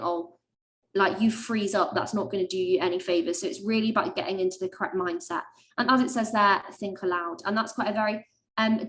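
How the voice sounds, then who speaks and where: A young woman, a medical student, giving chatty webinar advice with lots of ums and likes and a high-pitched voice. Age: young adult. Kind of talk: webinar talk.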